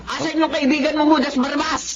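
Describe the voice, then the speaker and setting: A woman's voice: sits high, fast talker, over loud music. A woman with a Filipino accent acting a feisty, blunt, middle-aged auntie in a radio drama. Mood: scolding, indignant. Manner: blunt, expressive. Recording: muffled audio with faint noise.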